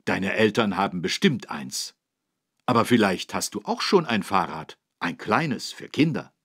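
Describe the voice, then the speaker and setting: A husky-voiced man narrating a children's learning game, explaining things in an encouraging, animated way with careful phrasing. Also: fairly low pitch, measured pace, slight background noise. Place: dry studio.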